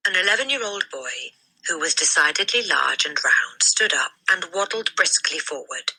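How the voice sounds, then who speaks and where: A woman reading a children's story aloud as a teacher, in expressive scripted narration. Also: deep voice, moderate pace, breathy edge, low-quality recording.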